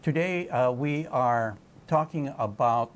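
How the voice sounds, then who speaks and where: Halting male talk-show host. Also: medium pitch. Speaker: television program host. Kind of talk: conversational interview.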